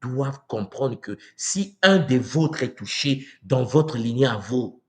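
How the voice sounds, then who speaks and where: Congolese man, live lecture host, animated rhetorical delivery, swinging pitch. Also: slow pace. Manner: animated, rhetorical questions, acts out quoted voices. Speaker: speaker on spiritual tradition. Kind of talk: live talk addressing viewers. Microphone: livestream mic.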